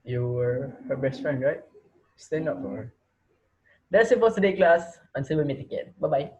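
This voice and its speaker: A man's voice: fairly high pitch, lively intonation, normal speed, a little mellow, dull audio, slight background noise, natural voice. Give me a man acting a theatrical, sinister teacher. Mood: taunting. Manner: theatrical, taunting. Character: sinister male teacher taunting captive students, mockingly playful. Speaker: actor in a student reader's theater. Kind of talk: amateur dramatic reading.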